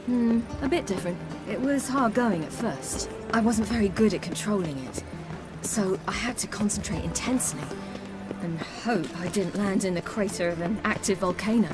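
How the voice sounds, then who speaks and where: Fantasy game heroine, actress as young woman adventurer, British accent, mid-range, candid and quietly wry. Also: mid-range voice, normal speed, music in the background, close to an everyday voice. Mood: reflective. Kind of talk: game cutscene dialogue.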